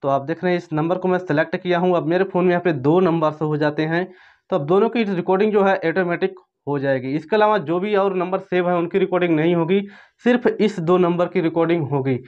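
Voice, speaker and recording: A man's voice: high voice, quick pace. A man narrating an informal step-by-step tutorial in repetitive, instructional phrasing. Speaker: phone tutorial presenter. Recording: dull, lo-fi voice-over.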